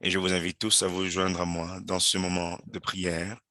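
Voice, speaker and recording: Male interpreter, deep and forceful voice, measured delivery with restarts. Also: completely flat delivery, no room sound. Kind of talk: live interpretation of a faith webinar.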